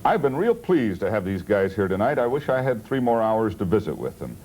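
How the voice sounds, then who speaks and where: A man's voice: on the low side, big pitch swings, a little fast, a little mellow. A man hosting a late-night television talk show in a casual, bantering style. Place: TV studio. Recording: old TV tape, faint noise.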